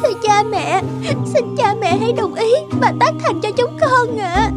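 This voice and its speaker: Very high-voiced, pleading young maiden. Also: female voice, animated, normal speed, loud music behind the voice. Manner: earnest, imploring. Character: devoted, pleading young daughter in love. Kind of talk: Vietnamese fairy-tale cartoon dubbing.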